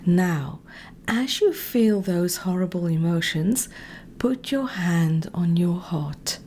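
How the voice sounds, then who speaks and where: Woman, meditation coach, very slow and deliberate, mellow, soft near-whisper, calm guided instruction. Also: on the low side, a little hiss or hum. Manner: calm, deliberate, gently directive.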